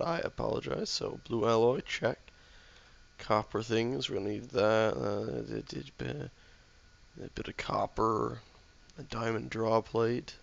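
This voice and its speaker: Sick, hoarse man muttering over his game. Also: fairly low pitch, muffled recording. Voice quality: slightly hoarse. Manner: mumbling, muttering to himself while building. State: sick with a sore throat. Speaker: let's play gamer. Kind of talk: casual game commentary, thinking aloud.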